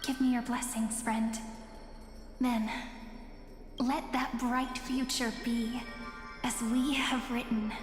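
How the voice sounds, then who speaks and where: A woman playing a gentle, wistful young woman in a game cutscene, tender and earnest, in echoing reverb with music. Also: fairly high pitch, little pitch movement, slow pace, long pauses. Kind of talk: scripted game cutscene acting. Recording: echoey hall reverb under loud music.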